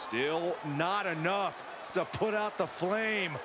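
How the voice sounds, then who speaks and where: A man's voice: high-pitched, takes it slowly, crowd noise. A man giving dramatic, colorful commentary on a match in a wrestling video game. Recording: loud arena noise behind.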